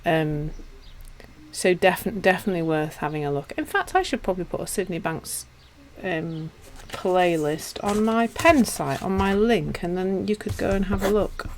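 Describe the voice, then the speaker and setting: A woman with a Yorkshire accent teaching and chatting casually with viewers on a live stream, self-deprecating and warm in her asides. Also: fairly low pitch, animated, moderate pace, slight background noise. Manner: chatty and self-deprecating, with frequent restarts and laughs. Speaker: meditation teacher.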